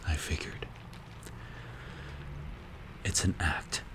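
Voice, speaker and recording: A man playing a strict, calculating mafia boss in an ASMR roleplay, speaking in a hushed, breathy, measured and menacing way. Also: noisy background. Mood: calm, calculating. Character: strict, cold, calculating male mafia boss mentoring a protégé.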